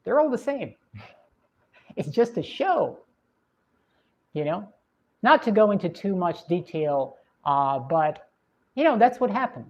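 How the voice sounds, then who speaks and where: A woman from Russia with a Russian accent, hosting a livestream and chatting in a reflective, unhurried way with plenty of fillers. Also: medium pitch, very animated. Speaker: livestream host. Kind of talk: casual livestream chat reading viewer comments. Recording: dull lo-fi stream audio.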